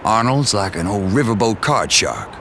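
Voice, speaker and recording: A deep-voiced stern commander. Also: male voice, very animated, moderate pace. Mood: grave. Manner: grave, clipped briefing. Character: stern male commanding officer, dry and measured. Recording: loud noise under game audio.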